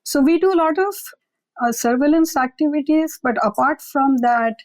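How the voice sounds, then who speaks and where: A woman, a lab director and guest on an interview podcast, explaining her scientific work in a measured, conversational way. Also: high voice, no echo. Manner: measured, explanatory, occasional fillers.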